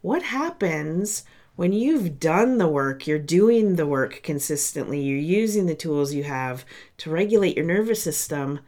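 A woman's voice: low-pitched, expressive, measured pace, darkish tone. Congested, nasal woman podcast host. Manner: chatty and candid, speaks to listeners directly. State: congested from a sinus cold. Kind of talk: casual solo podcast monologue.

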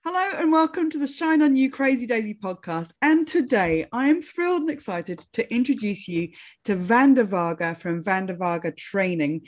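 A woman's voice: fairly high pitch, animated, normal speed. A woman hosting a podcast, reading a scripted intro and then interviewing a guest with enthusiasm and encouragement. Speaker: podcast host.

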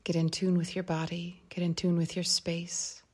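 A woman leading a guided meditation in a calm, gentle, unhurried way, with a breathy voice that softens toward a whisper. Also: on the low side. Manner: calm, unhurried, gentle phrasing with long pauses. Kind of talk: guided meditation, slow and soft instruction.

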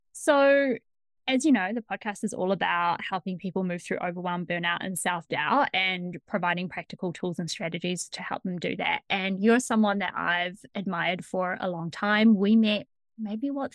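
A woman's voice: medium pitch, no echo. A woman hosting a podcast, reading a guest introduction and then chatting enthusiastically with her guest. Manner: enthusiastic, effusive praise. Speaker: podcast host. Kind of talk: warm podcast interview intro and chat.

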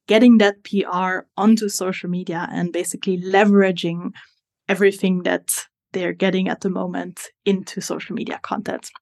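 A woman's voice: lowish voice, darkish tone. A woman in her twenties from Italy with an Italian accent, a guest on a podcast, explaining her work step by step with frequent fillers. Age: 20s. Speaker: social media strategist guest. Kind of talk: podcast interview.

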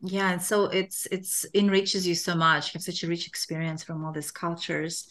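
A woman hosting a business interview, enthusiastic and affirming, often reacting with warm praise to her guest. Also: fairly low pitch, flat delivery, moderate pace. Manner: enthusiastic, affirming, often says she loves it. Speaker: podcast host. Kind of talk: conversational interview.

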